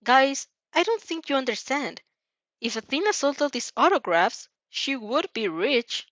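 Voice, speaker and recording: A woman's voice: high-pitched, big pitch swings, unhurried, fairly bright tone, no room sound, highs cut off, pitched above the performer's own voice, heavily acted. Woman acting a bubbly, dramatic teenage schoolgirl. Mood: excited. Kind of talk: animated fan fiction character reading.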